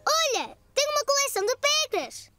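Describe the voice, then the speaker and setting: A woman voicing a cheerful, excitable four-year-old boy in a cartoon dub, with a European Portuguese accent. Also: very high-pitched, fairly quick, over loud music. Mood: excited. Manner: eager, exclamatory. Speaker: voice actor. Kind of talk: cartoon dubbing.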